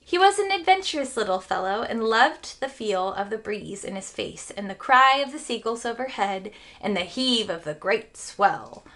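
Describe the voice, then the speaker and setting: A woman with a fairly high, bright voice reads a children's storybook aloud as a teacher would. Her pitch moves expressively through the narration, and she is animated, pausing to explain for young listeners.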